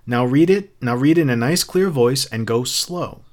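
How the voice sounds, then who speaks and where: A man's voice: medium pitch, expressive, a little slow, a little mellow, natural voice. A man voicing a bossy, nervous housewife in a storybook reading, chattering and coaxing with false sweetness. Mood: falsely cheerful. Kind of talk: audiobook character acting.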